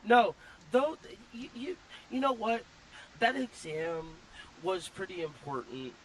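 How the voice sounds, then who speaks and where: A man's voice: high voice, takes it slowly, a bit bright, slight background noise, low-quality recording. A man calling into a stream, arguing defensively and stumbling over his words. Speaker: caller. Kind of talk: heated live-call argument.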